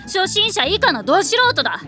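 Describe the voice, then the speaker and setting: A woman voicing a brash, cocky tomboyish teenage girl with rough, slangy, boastful speech in an anime. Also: extremely high pitch, sing-song, normal speed, pushed and bright, faint music behind, heavily acted. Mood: defiant, cocky. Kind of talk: anime voice acting.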